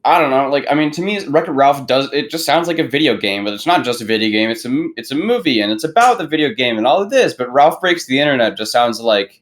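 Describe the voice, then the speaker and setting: A young man in a casual film discussion, talking haltingly and repeating himself, with "like" in nearly every phrase. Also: mid-range voice, lively intonation, slight background noise. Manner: halting, repetitive, heavy use of "like". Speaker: podcast panelist.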